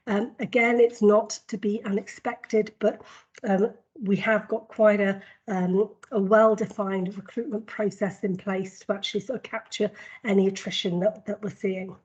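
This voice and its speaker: A woman with a cold and a husky voice, presenting a formal report at a board meeting in a measured way, with frequent ums and repeated words. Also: mid-range voice, darkish tone, highs cut off. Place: board meeting, video call.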